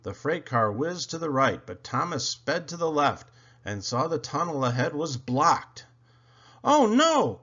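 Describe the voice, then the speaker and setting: A man reading a children's story aloud in an expressive, dramatic, deliberate way. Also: mid-range voice, dull audio. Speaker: storybook reader.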